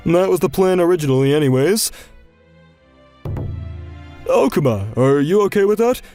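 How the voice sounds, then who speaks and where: A man voicing a stern manager who speaks in a firm, measured, pointed way in manga dub dialogue. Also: on the high side, lively intonation, dark tone, faint music behind, natural voice. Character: stern, measured male manager probing a dispute.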